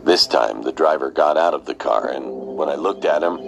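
A man narrating a first-person horror story in a measured, scripted way. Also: rumbling low, vocal fry, lo-fi sound, noisy background. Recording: narration under loud music, played back from a video.

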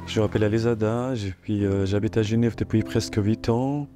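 A man in his thirties from Afghanistan speaking quiet, hesitant in a documentary interview. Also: fairly low pitch, fairly even intonation, fairly quick, music in the background. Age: 30s. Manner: quiet, hesitant non-native speech.

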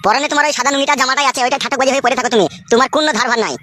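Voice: sits high, very fast, pushed and bright, no room sound. A performer voicing an angry, stubborn, foul-mouthed young son-in-law who scolds and argues in a comedy cartoon. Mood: scornful, angry. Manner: scolding, argumentative, crude.

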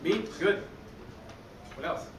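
French-Canadian coach lecturing in an echoey hall. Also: male voice, takes it slowly, recorded from a distance. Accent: Québécois French. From Quebec City, Canada. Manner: energetic, chatty, often adds "okay?". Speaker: baseball coach giving a conference talk. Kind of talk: conference presentation. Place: dark conference hall, speaking in front of a screen. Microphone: room recording from a far camera. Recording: echoey hall, heard from afar, noisy.

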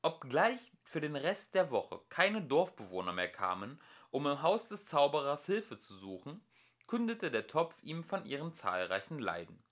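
A man narrating a storybook as an amateur audiobook reader, in an expressive storytelling manner. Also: a little high, unhurried. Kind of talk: storybook narration, read aloud.

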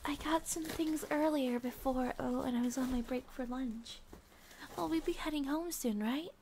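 A woman playing a sleepy, affectionate young student girlfriend in an ASMR roleplay, talking softly, drowsily and hesitantly. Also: sits high, normal speed, a little hiss or hum. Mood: drowsy, affectionate. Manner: soft, drowsy, hesitant, giggly.

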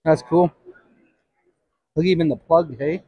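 A middle-aged man with a husky voice chatting casually as he shops for a vlog. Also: on the high side, fairly even intonation, unhurried, dry recording, highs cut off. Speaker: vlogger shopping at a swap meet. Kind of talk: casual chat. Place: large busy indoor swap meet hall.

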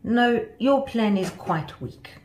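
A woman's voice: on the low side, big pitch swings, normal speed, darkish tone, reverberant, a little hiss or hum. A woman voicing a vain, bossy young female butterfly in a children's story, haughty, scornful and theatrical. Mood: scornful, drawling.

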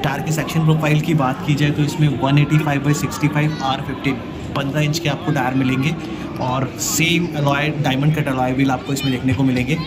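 A man's voice: mid-range voice, little pitch movement, fairly quick, very noisy. A man giving a casual walkaround car review at a busy indoor auto show, chatty, mixing English words into Hindi. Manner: chatty, mixes English words into Hindi, frequent "guys" and "yaar".

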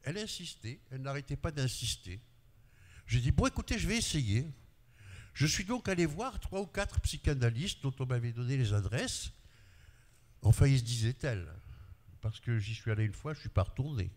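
An older man whose first language is Arabic tells a personal memory at a conference panel, his voice moving up and down as he goes. He holds a handheld mic, and the sound carries a long hall reverb. His pitch sits in a middle range for a man. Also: expressive, normal speed. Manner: storytelling with shifts of pitch and loudness, gesturing. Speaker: speaker paying tribute, pediatrician. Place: large glass-walled conference hall.

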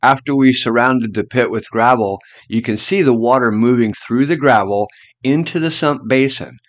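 A man narrates a drainage job as voice-over in a steady, instructive way. The recording is close and dry, with no room in it. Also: mid-range voice, normal speed, no room sound.

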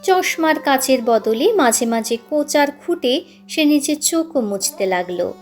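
A woman's voice: high-pitched, lively intonation, measured pace. A woman reading a scripted literary story as an audiobook narrator, with instrumental music behind her. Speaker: channel host and story narrator.

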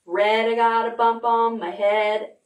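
A middle-aged woman hosting a children's storytime, reading aloud in simple, expressive language for toddlers. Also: a little high, measured pace. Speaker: library storytime host. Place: indoor room, recorded on video.

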